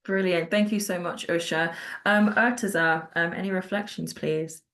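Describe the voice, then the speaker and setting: A woman's voice: lowish voice, fairly even intonation, moderate pace, a bit bright. A woman hosting an online panel discussion, speaking in an affirming, appreciative manner. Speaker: panel host. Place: online video call.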